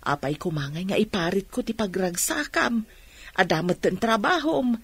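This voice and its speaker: A woman playing a caring, worried mother of a grown son in an Ilocano radio drama, with a husky voice. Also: mid-range voice, lively intonation, moderate pace, dry recording. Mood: teasing, probing.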